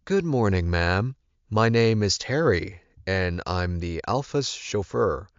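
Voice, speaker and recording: A man's voice: lowish voice, lively intonation, slow pace, clean tone, dry recording. A courteous suited chauffeur in an audiobook , voiced by a man. He is smiling and deferential, speaking without hurry in a dry, slightly dull recording. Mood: cheerfully courteous. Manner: polite, deferential.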